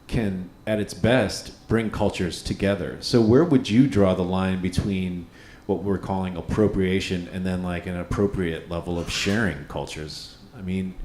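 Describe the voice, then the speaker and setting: A man's voice: sits low, fairly even intonation, a little slow, few pauses, a little mellow, roomy sound, a little hiss or hum. A male host moderating a live panel, who thoughtfully restates the guest's points as questions. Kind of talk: interviewing. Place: live radio studio with audience.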